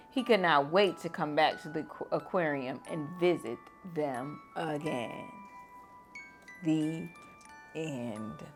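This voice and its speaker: A woman reading a children's picture book aloud to kids in a gentle, expressive storytime manner. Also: deep voice. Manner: gentle, expressive, lively on exclamations. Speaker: storytime reader.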